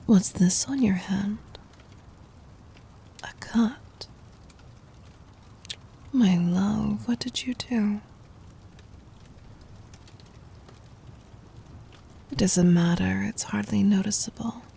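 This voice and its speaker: A woman playing a gentle, dominant demon queen in an ASMR roleplay, teasing and intimate, in a breathy whisper. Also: medium pitch, full character voice. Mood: tender concern. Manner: slow, intimate, commanding.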